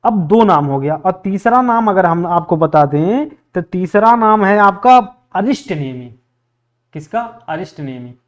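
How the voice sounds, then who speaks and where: Male exam teacher, high-pitched, repetitive question-and-answer drilling in a lecture style. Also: lively intonation, measured pace. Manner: repetitive, asks questions and answers them himself. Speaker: history teacher for exam preparation. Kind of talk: classroom lecture drilling facts for exams.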